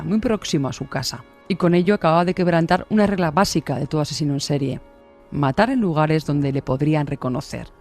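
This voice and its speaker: A man's voice: a little high, darkish tone. A man reading scripted true-crime narration.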